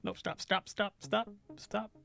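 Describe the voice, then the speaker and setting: Flustered male game streamer, slightly creaky voice. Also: high-pitched, measured pace, bright, forceful tone. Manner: flustered, apologetic, self-deprecating. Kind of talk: casual live game stream commentary with read-aloud game text.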